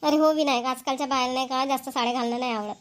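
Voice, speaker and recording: A woman's voice: high voice, fairly even intonation, very fast, lightly acted. A woman voicing a talkative, homely village housewife in a cartoon, with a rural Varhadi accent and a folksy, emphatic way of explaining things. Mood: chatty, explaining. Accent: rural Maharashtrian, Varhadi. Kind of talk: Marathi village family cartoon dialogue.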